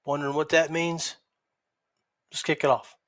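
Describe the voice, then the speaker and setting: A middle-aged man hosting a podcast, giving a casual solo recap in a halting way with repeats and pauses. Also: a little high, level tone, fairly bright tone. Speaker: podcast host.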